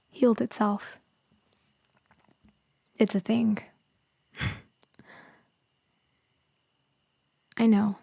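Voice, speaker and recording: Casting: woman as tender vampire rescuer for ASMR roleplay, soft whispery delivery, unhurried, very even pitch. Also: no echo, studio quality, full character voice. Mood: tender, reassuring. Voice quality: soft and breathy, often dropping to a whisper. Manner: tender, slow, reassuring, hesitant pauses. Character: gentle female vampire soothing someone she saved. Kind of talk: intimate ASMR roleplay acting.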